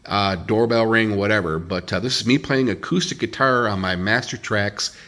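Low-voiced middle-aged man, casual vlogger. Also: normal speed, fairly bright tone. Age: 50s. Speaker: DIY video host. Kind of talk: casual vlog narration. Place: indoors at home. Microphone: handheld phone.

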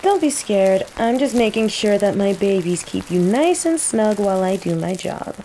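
A woman playing a cocky, teasing female mage who controls plants, in a scripted roleplay audio drama, with an unhurried, playful drawl. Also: mid-range voice, lightly acted, rough recording. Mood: teasing, searching. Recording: steady rain ambience noise. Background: falling rain.

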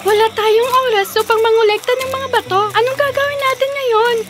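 A woman's voice: very high-pitched, steady tone, a little fast, music in the background, voice pushed up. A woman voicing a timid, sweet little girl in a fairy tale cartoon, in an anxious, childlike manner.

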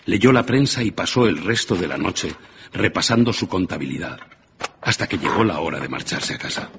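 A man's voice: low voice, lively intonation, normal speed, gravelly, dull audio, noisy background. A man narrating a scripted radio drama as a dramatic storyteller. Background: music.

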